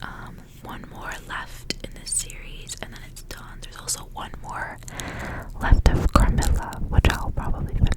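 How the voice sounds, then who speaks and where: A woman whispering softly in a breathy, rambling ASMR style, as a host reading and chatting casually. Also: unhurried, low-quality recording. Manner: soft, rambling, repeats words.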